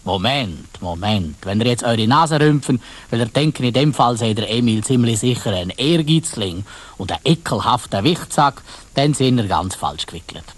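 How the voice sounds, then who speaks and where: A man's voice: mid-range voice, animated, normal speed. A man with a Swiss German accent narrating a children's story in a colloquial way, addressing his listeners directly.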